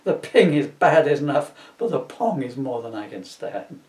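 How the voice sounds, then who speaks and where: A man's voice: medium pitch, very animated, moderate pace, a little mellow, close to an everyday voice. A man voicing an aggrieved, melodramatic electric supervillain in a comic storybook reading. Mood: pleading. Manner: melodramatic, complaining. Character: whiny aggrieved electric supervillain, glowing and theatrical. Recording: roomy, distant camera microphone.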